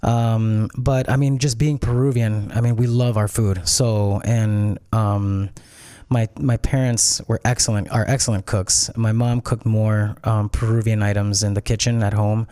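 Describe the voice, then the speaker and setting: Low, warm-voiced man explaining calmly. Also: unhurried, smooth, no room sound, clean studio sound. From Peru and Miami. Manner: thoughtful, explains at length. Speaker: restaurant founder, guest. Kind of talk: casual interview.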